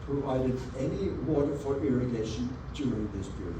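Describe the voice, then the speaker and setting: A man from Norway with a Norwegian accent, giving an earnest, measured presentation over slides at a community meeting and stressing key words. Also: mid-range voice. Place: meeting room with an audience. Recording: echoey meeting room, mic at a distance, audible background noise.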